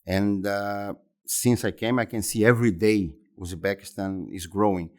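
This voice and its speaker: A man in his fifties from Brazil, speaking English with a Brazilian Portuguese accent as an interview guest, talking haltingly and often repeating words and restarting phrases. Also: lowish voice, steady tone. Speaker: interview guest, exhibition center executive. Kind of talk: conversational interview answers.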